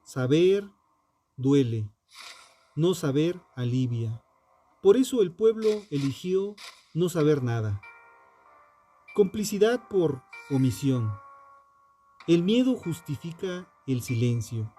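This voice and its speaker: A man with a mellow, relaxed tone narrates true crime from a script. His delivery is slow and solemn, built on short, dramatic phrases with pauses for emphasis. The pitch sits a little high for a man and moves expressively. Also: faint music behind.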